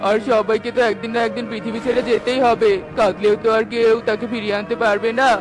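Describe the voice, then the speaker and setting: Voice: medium pitch, little pitch movement, fast, close to an everyday voice. A performer voicing a concerned, consoling male parrot in a cartoon, with music behind. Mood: firm, consoling.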